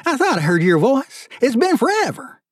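A man playing an excitable, high-voiced hearty father. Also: brisk, no room sound, clean studio sound. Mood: excited. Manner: thick accent, hearty. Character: excitable, warm middle-aged father, restaurant owner.

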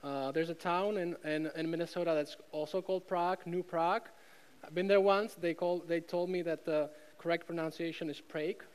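A man's voice: fairly high pitch, fairly even intonation, a little fast, clear, resonant tone. A man from the Czech Republic with a Czech accent, preaching a sermon with emphatic repetition and rhetorical questions. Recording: long hall reverb.

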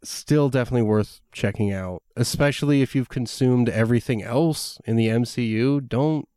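A man's voice: medium pitch, moderate pace, dark tone, no room sound, studio quality. A young man chatting casually about a film, rambling with lots of fillers and swearing freely. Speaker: podcast co-host reviewing a film. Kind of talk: casual chat.

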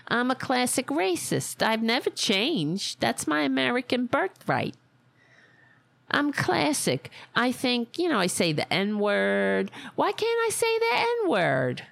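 A brash older widow from Staten Island, as a woman puts her on, with a thick Staten Island accent. She drawls slowly in a gravelly voice that swoops up and down. The sound is close and dry. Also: high voice, a bit bright, no room sound, heavily acted. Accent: Staten Island, New York. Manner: sarcastic, exaggerated, mocking persona. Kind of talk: satirical character monologue.

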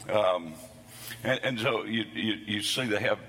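Hoarse preacher in a reverberant sanctuary. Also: male voice, fairly low pitch, measured pace, fairly bright tone. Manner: folksy, self-deprecating humor. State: hoarse, losing his voice. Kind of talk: sermon. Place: church sanctuary.

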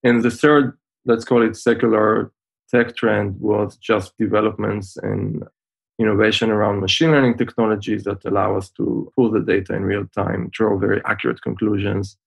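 A man in his forties with an Israeli accent, a podcast guest explaining things in a measured way with frequent pauses over a video call. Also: lowish voice, little pitch movement, gravelly, slightly rough. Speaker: guest, fintech founder.

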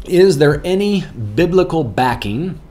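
A man's voice: on the high side, animated, a little slow, dark tone, slight background noise. A man answering viewer questions as a pastor, talking through Bible verses in a plain, earnest way, with chuckles and restarts. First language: English, not Afrikaans. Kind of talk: casual Bible Q&A teaching.

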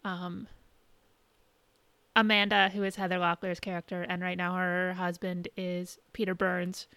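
Woman, podcast co-host, bright crisp voice, close dry sound, casual halting chat. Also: lowish voice, little pitch movement, no echo. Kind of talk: casual chat, recapping shows and films.

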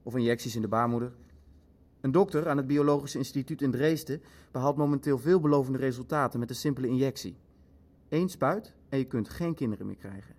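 An actor in an audio drama playing a pragmatic Nazi official who speaks in a polite, measured, coldly matter-of-fact way. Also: male voice, medium pitch, darkish tone, lightly acted.

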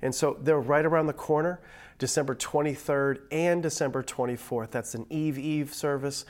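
Upbeat pastor, nasal with a cold. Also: male voice, on the high side, fairly even intonation, normal speed. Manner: upbeat, joking, conversational. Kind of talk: pre-recorded online church message.

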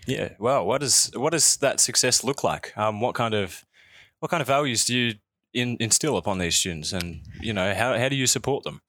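A man's voice: mid-range voice, moderate pace, a bit bright, no room sound, studio quality. A man conducting a casual interview in an encouraging, appreciative manner.

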